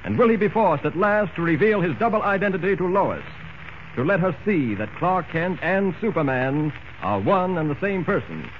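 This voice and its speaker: A man's voice: high-pitched, big pitch swings, normal speed, slight background noise. A man announcing a radio serial in an urgent, declamatory narration, on a muffled old radio recording.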